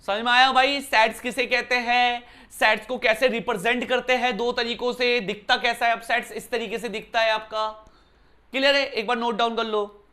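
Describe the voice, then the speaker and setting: A man from Uttarakhand teaching a maths lesson indoors in a chatty style, often checking with his students whether it is clear. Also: extremely high pitch, steady tone, moderate pace, pushed and bright. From Uttarakhand, India. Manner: conversational, keeps asking "clear, brother?". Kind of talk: classroom lesson explanation. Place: indoor room.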